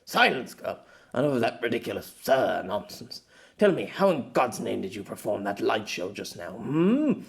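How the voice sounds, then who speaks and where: A man's voice: fairly low pitch, sing-song, moderate pace, gravelly. A man voicing a haughty, irritable author in his fifties, pompous and curt, in an audiobook. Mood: irritated, suspicious. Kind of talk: audiobook character voice.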